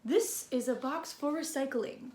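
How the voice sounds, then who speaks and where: A woman's voice: sits high, measured pace, darkish tone. A woman voicing a patient male schoolteacher in a children's storybook, explaining things and prompting with questions. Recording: roomy echo with background noise.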